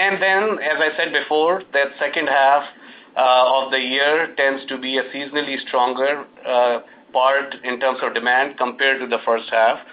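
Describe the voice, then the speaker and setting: A man speaking as a company executive on an earnings call, answering in a halting, measured, explanatory way. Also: mid-range voice. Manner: halting, measured corporate explaining with frequent pauses. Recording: dull lo-fi conference call audio.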